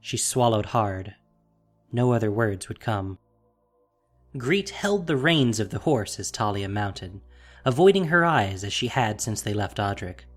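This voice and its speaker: A man's voice: fairly low pitch, sing-song, unhurried, faint music behind. A man narrating a fantasy audio drama from a script, as its storyteller.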